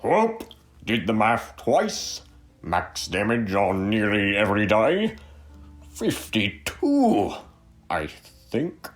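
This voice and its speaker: A woman's voice: extremely low pitch, huge pitch swings, takes it slowly, faint music behind, exaggerated voice. A woman playing a nerdy, terse, hesitant tabletop gamer in a radio drama. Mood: dry, pleased.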